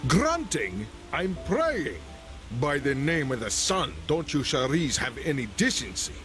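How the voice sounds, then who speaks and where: Touchy desert guard, swinging pitch, game music behind. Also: male voice, very animated, measured pace, fairly bright tone. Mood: indignant. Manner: prickly, insulting, theatrical. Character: irritable male desert-born city guard, devout. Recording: game audio under music.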